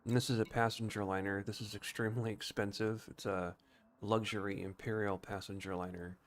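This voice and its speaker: Low-pitched man explaining a game casually. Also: little pitch movement, fairly quick, slight background noise. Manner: explanatory, informal, thinking aloud. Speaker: game streamer. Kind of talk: casual gameplay commentary and explanation.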